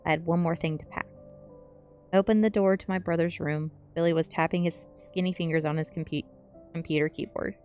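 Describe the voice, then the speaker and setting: A woman narrating a teen story aloud in an expressive audiobook style. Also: on the low side, fairly quick, faint music behind. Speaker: streamer reading a story aloud.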